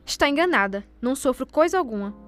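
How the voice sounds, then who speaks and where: Woman doing proud young brazilian wife in a radio soap, high voice, formal and icy sharp tongue, bit of music behind. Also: expressive, fast. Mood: curt denial. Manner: formal, haughty, provoking. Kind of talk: radio soap opera acting of a literary classic.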